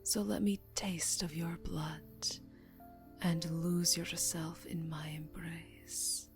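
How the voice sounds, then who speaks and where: A woman playing a seductive, predatory yet tender vampire countess in a whispery ASMR roleplay, breathy, intimate and coaxing. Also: lowish voice, level tone, slow. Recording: soft music behind the voice.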